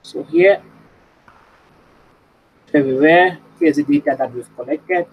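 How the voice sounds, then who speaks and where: A man's voice: a little high, unhurried, muffled recording, slight background noise. A man presenting a webinar lesson, explaining points and often checking in with "right?".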